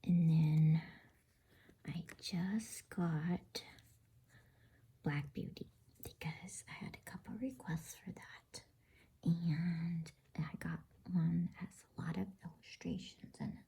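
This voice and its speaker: A woman speaking in a breathy ASMR whisper, unhurried and gentle, as if hosting a bedtime reading. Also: on the low side, steady tone. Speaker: host and bedtime story reader. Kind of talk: whispered ASMR, casual announcements and storybook reading.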